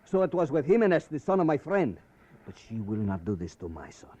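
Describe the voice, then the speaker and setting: A man playing a proud, desperate middle-aged father with a Mexican accent in a 1950s radio drama, speaking in halting, florid English. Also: medium pitch, very animated, dark tone, husky. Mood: bitter, impassioned. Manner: halting, florid, impassioned foreign-English phrasing. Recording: dry, dull vintage radio recording.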